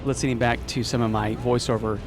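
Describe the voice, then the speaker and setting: A man with a Texas accent giving casual, excitable voiceover commentary on his gameplay. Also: medium pitch, fairly quick, over music. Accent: Texan. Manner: chatty, excitable, casual with filler words. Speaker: gaming YouTuber. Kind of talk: let's play voiceover commentary.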